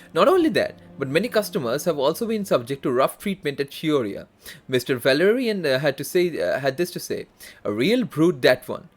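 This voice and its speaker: Male game streamer: lighter-pitched, expressive read-aloud, halting with casual asides, soft music underneath. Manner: halting, stumbles and corrects himself while reading. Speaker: live streamer. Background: faint game music.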